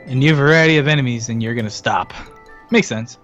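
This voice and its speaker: Guest co-commentator: male, slightly lo-fi call sound, relaxed jokey banter over faint music. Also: medium pitch, a little fast. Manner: joking, giggly. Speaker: guest co-commentator on game stream. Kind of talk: casual chat. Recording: muffled voice-chat audio, music behind. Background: game music.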